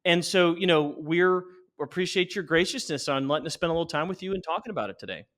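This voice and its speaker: A man in his forties speaking as an interview guest, earnestly explaining and pitching in a conversational way, often restarting his sentences. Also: quick pace, a bit bright. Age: 40s. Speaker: interview guest, company founder.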